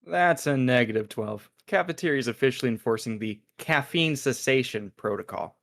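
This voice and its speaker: A man acts out an easygoing agent in a radio-show table read. His mid-pitched voice is friendly and wry, with a teasing, buddy-like lilt. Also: expressive, brisk, vocal fry, fairly bright tone. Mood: chipper teasing. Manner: wry, upbeat, placating. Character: easygoing, chummy male agent, teasing coworker. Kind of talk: audio drama table read.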